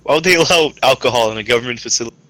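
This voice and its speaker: A man's voice: mid-range voice, rapid-fire, bright, forceful tone, dry recording, rough recording. A man joking as a tabletop game player, full of mock-indignant complaints. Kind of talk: casual joking banter during roleplay game.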